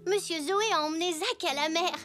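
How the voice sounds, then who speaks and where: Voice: extremely high pitch, fairly quick, bright, forceful tone, soft background music. A performer voicing a polite, earnest little boy zebra in a French children's cartoon. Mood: earnest, helpful.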